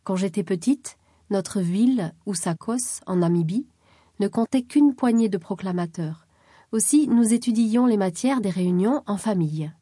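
A woman calmly reading a first-person life story aloud as scripted narration. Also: medium pitch, normal speed, breathy edge, dry recording, clean studio sound. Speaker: reader of a first-person article.

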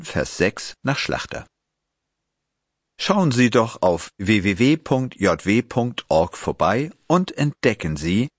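A man reading a magazine article aloud in a measured, scripted way and posing interview questions. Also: on the low side, no room sound, clean studio sound. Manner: measured, even, clear read. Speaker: narrator and interviewer.

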